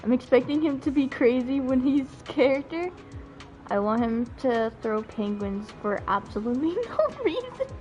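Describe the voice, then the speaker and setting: A woman's voice: high voice, sing-song, moderate pace, darkish tone. A woman streaming a game with casual, chatty, reactive live commentary. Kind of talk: casual live gameplay chat. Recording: dull lo-fi mic, game music behind.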